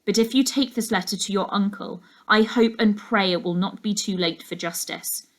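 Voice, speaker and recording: A woman's voice: mid-range voice, moderate pace, natural voice. Woman reading as solemn, desperate schoolboy. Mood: solemn, urgent. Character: shy, desperate schoolboy writing a farewell letter.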